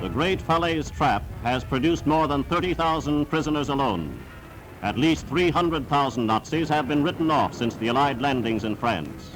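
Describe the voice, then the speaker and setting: A man's voice: mid-range voice, animated, moderate pace, over music, low-quality recording. A man narrating a scripted wartime newsreel in an emphatic, declamatory announcer's manner, on a vintage 1940s soundtrack.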